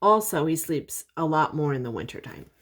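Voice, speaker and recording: An older woman reading a picture book aloud to young children, pausing to explain things and ask simple questions. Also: low-pitched, a little hiss or hum. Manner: expressive, simple explanations and questions for little listeners.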